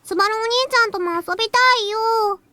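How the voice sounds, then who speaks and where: A woman's voice: very high voice, fairly even intonation, very fast, sharp tone, dry recording. A woman voicing a cute, clingy, spoiled little girl in a Japanese visual novel. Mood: whiny, clingy.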